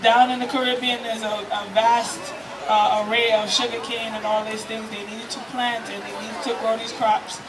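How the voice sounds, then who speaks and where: A woman's voice: on the high side, level tone, normal speed. A woman from Trinidad and Tobago giving a conversational, explanatory talk to a live festival audience. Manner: conversational and explanatory, addresses the crowd. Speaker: festival presenter. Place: outdoor festival stage area. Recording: distant mic with noticeable background noise.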